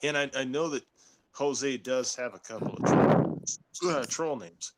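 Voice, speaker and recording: A man's voice: mid-range voice, measured pace, very noisy. A man chatting casually on a call, often repeating words as he starts a sentence. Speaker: panelist.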